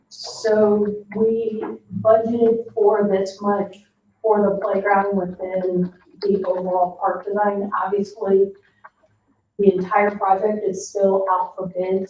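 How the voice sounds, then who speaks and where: An American woman explaining things hesitantly as a town staff member presenting at a board meeting. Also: mid-range voice. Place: indoor municipal meeting room. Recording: echoey room, distant dull microphone, faint noise.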